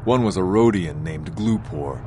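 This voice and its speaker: A man's voice: sits low, animated, unhurried, noisy background. A man playing a gossipy, self-justifying hotel keeper in a video game, sounding matter-of-fact and a little prejudiced. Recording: music behind the voice.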